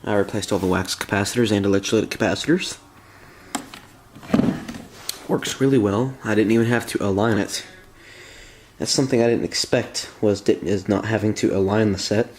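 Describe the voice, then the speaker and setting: A man's voice: fairly low pitch, fairly even intonation, brisk, a little mellow, slight background noise. A man chatting casually as a hobbyist showing off a restored vintage radio, recorded indoors on a handheld camera. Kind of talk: casual demonstration chat. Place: room in a house.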